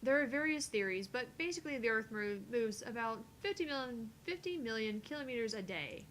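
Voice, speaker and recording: Female game read-along co-host, higher-pitched, playful and casual, reading lines aloud over slight rumbly noise. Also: expressive, a little fast, fairly bright tone.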